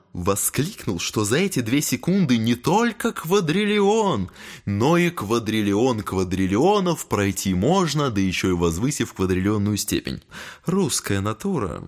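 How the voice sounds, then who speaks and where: Sly, swooping gentleman devil. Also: mid-range voice, big pitch swings, measured pace. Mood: sly, ironic. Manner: ironic, theatrical storytelling. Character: sly, mocking gentleman devil, talkative nighttime apparition. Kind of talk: audio drama acting.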